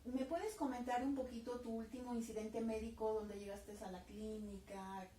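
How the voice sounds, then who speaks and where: A woman, asking interview questions in a calm, direct way. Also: on the high side, moderate pace, a little hiss or hum. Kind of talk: interview questions and scripted intro. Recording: echoey room, mic at a distance.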